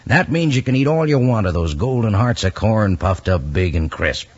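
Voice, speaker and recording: An American man doing a hearty, folksy 1950s radio sales pitch in cowboy patter. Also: animated, normal speed, breathy edge. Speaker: announcer and sponsor pitchman. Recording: muffled old radio broadcast.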